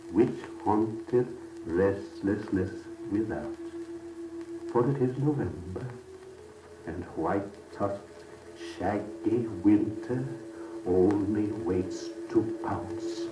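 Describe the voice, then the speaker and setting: A man narrating an old-time radio family drama in a solemn, lyrical, measured and theatrical style, lingering on his phrases. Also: on the low side, noisy background. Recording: old 78rpm radio record, roomy, distant, music.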